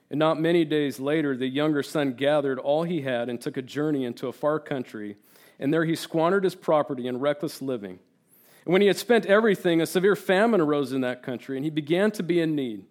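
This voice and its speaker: A man raised in Turkey with Turkish as his first language delivers a sermon as a guest preacher. His voice sits a touch high, and he talks earnestly and with animation. He doubles up words for emphasis and reads scripture aloud. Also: a little fast, a little mellow.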